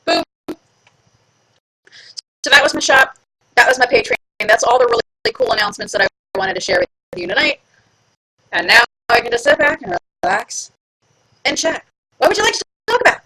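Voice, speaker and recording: A woman's voice: fairly high pitch, creaky, pushed and bright, poor audio. A woman hosting a live stream from home, chatting casually and playfully with her viewers and teasing herself. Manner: chatty, playful, self-mocking. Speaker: livestream host.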